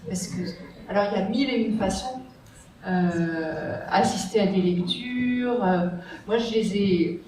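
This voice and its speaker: A woman author on a panel, speaking hesitantly and reflectively with many pauses and fillers. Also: medium pitch, warm tone. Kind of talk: round-table discussion. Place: large echoey library hall. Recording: echoey hall from far off, faint noise.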